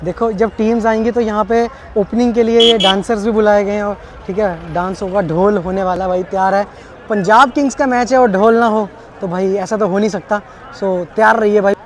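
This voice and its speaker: A young man doing casual, animated street-vlog commentary and fan interviews, projecting outdoors. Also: high voice, brisk. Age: young adult. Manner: animated, chatty, projecting over a crowd. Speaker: vlogger interviewing cricket fans. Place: outdoors by a road outside a cricket stadium. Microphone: clip-on wireless mic, second one handheld. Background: faint crowd and street sound.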